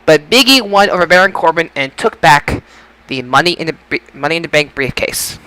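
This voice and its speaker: A man's voice: on the high side, animated, brisk, sharp tone, smooth, no echo, low-quality recording. A man hosting a casual podcast about wrestling results, speaking emphatically and often repeating and stumbling over phrases. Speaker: podcast host.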